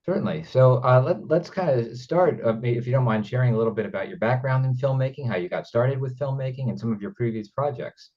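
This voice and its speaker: A man hosting a conversational interview, speaking in a hesitant, halting way. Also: mid-range voice, highs cut off. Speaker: interview host, independent filmmaker.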